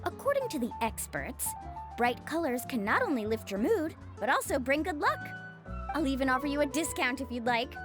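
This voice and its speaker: A woman's voice: high voice, big pitch swings, brisk, over music. A woman playing a friendly young island guide and shopkeeper in a video game, chatty and reassuring. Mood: friendly, persuasive.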